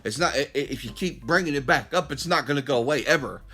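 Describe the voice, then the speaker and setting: Man, middle-aged, preachy monologue, bright edgy tone, faint music under the voice. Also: fairly high pitch, quick pace. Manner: impassioned, preachy, addresses listeners directly, frequent restarts. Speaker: podcast host. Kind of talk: opinionated monologue.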